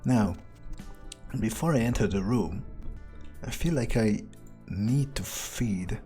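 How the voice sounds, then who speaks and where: Man playing a mellow, sardonic vampire. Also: on the low side, slow, faint music behind. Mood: musing. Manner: hesitant, musing, sardonic, swearing. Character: cynical, amused, ruthless male vampire player character. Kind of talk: improvised in-character video game roleplay.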